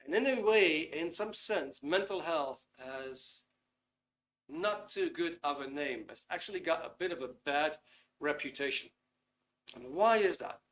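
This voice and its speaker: A Dutch man hosts a live online workshop over a computer mic. His voice sits a little high for a man. He talks in a loose, friendly way and fills his pauses with ums. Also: animated, fairly bright tone. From Netherlands. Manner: chatty, reads out chat comments, frequent ums. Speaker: webinar host. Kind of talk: casual live webinar talk.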